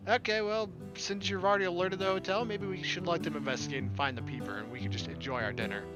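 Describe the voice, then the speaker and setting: A man's voice: sits high, steady tone, fast. A man casually reading visual novel narration and dialogue aloud as a let's player. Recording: game music behind the voice.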